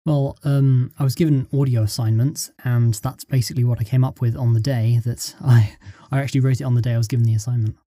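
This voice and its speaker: A male interview guest speaks in a very dark, mellow voice of middling pitch. He answers casually and haltingly, recorded close and dry. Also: steady tone, no room sound. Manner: halting, piecing phrases together. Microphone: close studio microphone.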